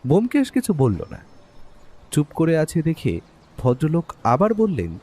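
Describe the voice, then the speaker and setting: Animated male storyteller, mellow tone. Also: on the high side, moderate pace, slightly rough. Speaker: narrator of a detective story. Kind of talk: dramatic scripted first-person narration.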